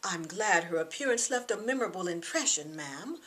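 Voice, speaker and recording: A woman's voice: on the low side, lively intonation, fairly bright tone. Woman reading as a solemn, formal young man. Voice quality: smooth. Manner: formal, measured, deferential.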